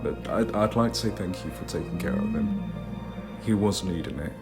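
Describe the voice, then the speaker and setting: A man's voice: on the low side, wildly swooping pitch, moderate pace. A man playing a dry, curious, level-headed engineer passenger in a fan audio drama, with a wry conversational manner. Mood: reflective. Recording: loud music behind.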